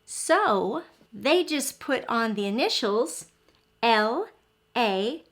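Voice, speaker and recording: Female kindergarten phonics teacher and story reader, higher-pitched with lively intonation, simple explanations and lots of questions for little kids. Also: extremely slow. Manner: simple explanations with frequent questions to young children. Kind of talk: children's phonics lesson and read-aloud.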